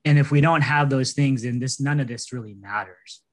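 A man's voice: medium pitch, normal speed. A man in his thirties on a video call, speaking earnestly as a panelist, with frequent restarts. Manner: earnest, conversational, many restarts. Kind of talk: panel discussion. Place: indoors, small room. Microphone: laptop webcam on a video call.

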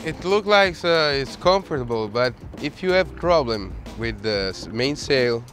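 A man's voice: mid-range voice, expressive, a bit bright. Italian-accented man giving a boat tour. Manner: halting, searching for English words. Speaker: sailboat owner. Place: outdoors on a sailboat deck and cabin. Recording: background music.

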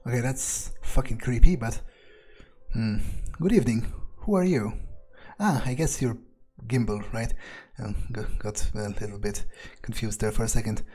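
A man narrating a video game playthrough in character, casual and wry, swearing often and filling pauses with ums and uhs. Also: medium pitch, warm tone, breathy edge, soft background music. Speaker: gamer roleplaying his character.

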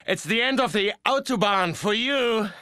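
Sharp German villain car. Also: male voice, high voice, normal speed, smooth, no echo, clean studio sound. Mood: menacing. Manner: menacing, gloating.

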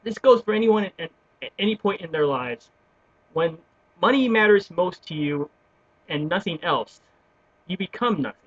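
A man's voice: high voice, lively intonation, moderate pace, long pauses, dry recording. A young man talking earnestly into his webcam at home, gesturing as he goes and often stumbling over restarts. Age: young adult. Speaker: vlogger. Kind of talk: opinion rant to webcam. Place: small room at home with bookshelves, indoors. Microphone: webcam built-in mic. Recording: dull lo-fi webcam audio.

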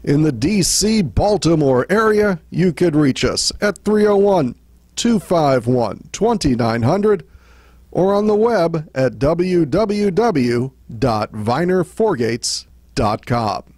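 Slow, sing-song male ad announcer. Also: a little high, lively intonation, a little mellow, no echo. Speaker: sponsor announcer. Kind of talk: read radio-style ad.

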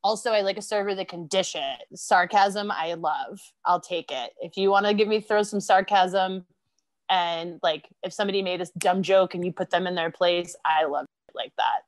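A woman's voice: fairly low pitch, moderate pace, no echo. Giggly woman telling stories. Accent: American. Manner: laughs often, animated storytelling. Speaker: podcast guest. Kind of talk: casual chat.

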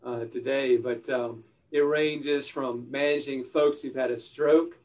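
A male physician explaining his specialty in a halting way, often repeating words. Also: medium pitch, steady tone, a little mellow, distant mic.

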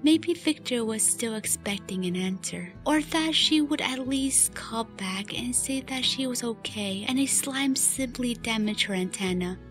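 Woman narrator reading a radio play story, slightly high voice, soft music bed under it. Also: a little slow, hardly any pauses. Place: recorded voice-over with music behind.

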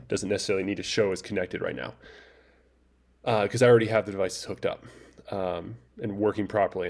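A man casually walking through a network setup tutorial, talking in a relaxed, halting way with many fillers and restarts. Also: fairly low pitch. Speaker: tutorial presenter. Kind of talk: casual screen-recorded tech tutorial. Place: voice-over over screen recording.

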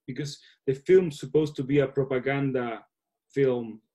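Mellow-voiced man, halting English. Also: medium pitch, fairly even intonation. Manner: halting, searching for words. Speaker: filmmaker being interviewed. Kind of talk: casual Q&A on a video call. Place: small room at home. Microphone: laptop video call.

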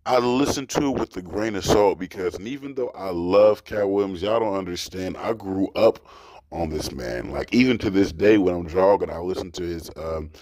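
A young man with a deep, very low voice talks straight into his desk mic from a small dark room, and the sound is dry and close. He rambles in an animated, emphatic way, swearing freely as he reacts in casual commentary. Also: moderate pace, dry recording. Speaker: reaction host.

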